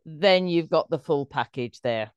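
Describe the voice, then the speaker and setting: A woman's voice: deep voice, lively intonation, a little slow, clean tone, no echo, muffled recording. A middle-aged woman, a stage performer telling anecdotes as an interview guest.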